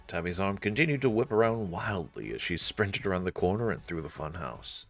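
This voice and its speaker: A man's voice: low voice, expressive, a little fast. A man narrating a visual novel in a storytelling style. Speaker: narrator and let's-play reader. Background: faint music.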